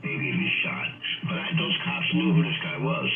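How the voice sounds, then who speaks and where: A man's voice: normal speed, over loud music. A man arguing casually over ham radio, swearing, emphatic and repeating his points. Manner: profane, emphatic, repetitive. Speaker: amateur radio operator in a group chat. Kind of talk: casual argumentative chat. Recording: narrowband, echoey ham radio transmission, loud interference.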